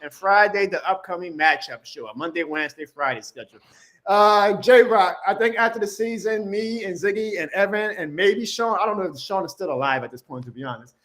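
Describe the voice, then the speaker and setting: A man's voice: high-pitched, fairly quick. A man hosting a casual fan livestream, loose and slangy, who says he is losing his voice. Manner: animated, loose and slangy, shouts out callers. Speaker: host of a fan livestream show.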